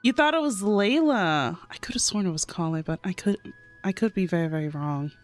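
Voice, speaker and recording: A woman streamer giving casual, excitable and giggly reaction commentary on a game. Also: on the low side, fairly quick, faint music behind.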